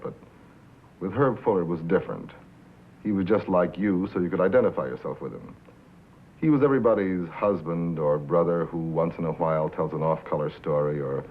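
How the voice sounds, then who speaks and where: An American man acting as an earnest, probing radio reporter in a 1950s film drama, measured and plain-spoken. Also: low-pitched. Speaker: actor in a feature film. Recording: muffled vintage film soundtrack, faint noise.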